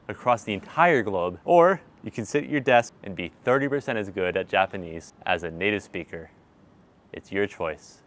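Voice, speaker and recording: A young man in his twenties talking straight to the camera in a wry, animated vlog, recorded outdoors on a city street at night with a clip-on mic. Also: on the low side, slight background noise. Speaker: vlogger. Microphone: small clip-on mic on his shirt.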